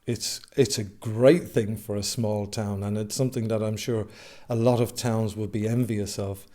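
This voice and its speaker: A man's voice: lowish voice, fairly even intonation, normal speed, dark tone. A man with an Irish accent talking casually and a little hesitantly as an interviewee. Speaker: amateur actor, interviewee.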